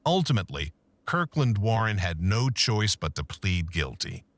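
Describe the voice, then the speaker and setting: A man narrating a true-crime documentary in a measured, scripted storytelling manner. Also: on the low side, sing-song, no echo.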